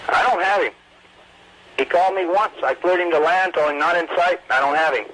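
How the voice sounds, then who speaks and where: A man's voice: high-pitched, a little fast, sharp tone, no room sound. A man working as an air traffic controller, speaking in clipped phrases over a radio transmission. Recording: noisy air traffic radio recording with clicks.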